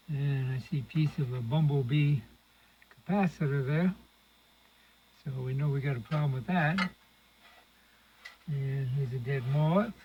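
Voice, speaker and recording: A man giving casual, unscripted commentary as he demonstrates a hands-on repair, murmuring through each step as he works. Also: on the high side. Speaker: host of a radio restoration channel. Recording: dull lo-fi audio with loud noise, clicks.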